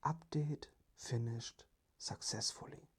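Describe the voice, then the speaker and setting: A storyteller plays a polite little robot in a whispered ASMR tale. The voice is very breathy and unhurried, with precise, formal phrasing and a playful, childlike temper. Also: medium pitch.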